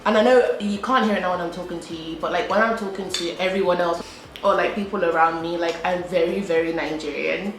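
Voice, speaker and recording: A woman's voice: fairly low pitch, steady tone, fairly quick. A young British woman chatting to camera in a casual vlog while eating, talking in a loose, repetitive way full of "like". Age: young adult. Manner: chatty, repeats herself, frequent "like". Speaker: vlogger. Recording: echoey room with faint background hum.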